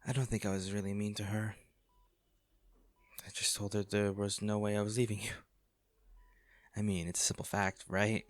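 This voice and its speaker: A man acting an anguished wealthy businessman in an intimate audio drama, speaking haltingly and emotionally to a comatose lover, with a gravelly voice. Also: lowish voice, flat delivery, no room sound, lightly acted. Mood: weary, tender. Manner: halting, emotional, with sighs and repeated words.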